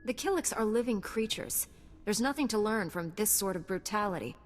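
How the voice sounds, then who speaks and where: A woman's voice: medium pitch, expressive, fairly quick, faint music behind, natural voice. A woman playing a principled, compassionate young warrior heroine in a video game, composed and earnest. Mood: troubled, firm. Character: principled, compassionate young female Jedi warrior, calm and resolute. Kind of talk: video game character acting.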